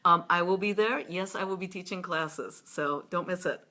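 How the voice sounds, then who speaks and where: Low, bright-voiced playful woman. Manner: playful, teasing, quick asides; slow breathy whisper when demonstrating a seductive voice. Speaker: sex educator hosting a live video chat. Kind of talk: casual chat. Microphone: webcam or laptop mic on a live stream.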